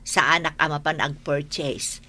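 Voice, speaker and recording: A woman playing a kindly, persuasive middle-aged aunt in an audio drama, with a husky voice. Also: sits low, steady tone, normal speed, pushed and bright. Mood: encouraging.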